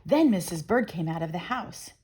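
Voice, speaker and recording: A middle-aged woman reading a children's picture book aloud as storyteller, expressive and deliberate. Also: on the low side, breathy edge. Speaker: storyteller and channel host.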